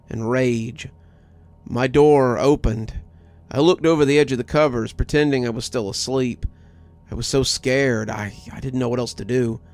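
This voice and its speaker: A man's voice: medium pitch, gravelly. A man reading a scripted first-person horror story as its narrator. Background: music.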